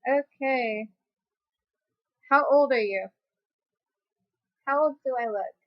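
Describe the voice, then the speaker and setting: A woman's voice: high-pitched, slow, dry recording. A twenty-year-old woman answering viewer questions in a casual, rambling way, full of fillers and restarts. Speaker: YouTuber. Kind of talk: casual facecam Q&A. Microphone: webcam mic. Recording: dry, dull lo-fi webcam audio.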